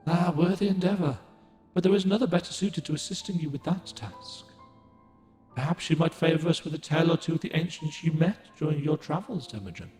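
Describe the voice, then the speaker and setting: An ancient moon guardian spirit from a game speaks in formal, old-fashioned phrases, gentle and measured, as a man voices him. His voice sits slightly high for a man. A long, roomy echo trails every line. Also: soft background music, natural voice. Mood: solemn. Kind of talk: game cutscene dialogue.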